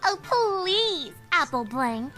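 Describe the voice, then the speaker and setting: A woman's voice: extremely high pitch, big pitch swings, slow, bright, forceful tone, heavily acted. A woman playing a snooty young filly bully in a fan audio drama, with a haughty, disdainful manner. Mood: sneering. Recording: music behind the voice.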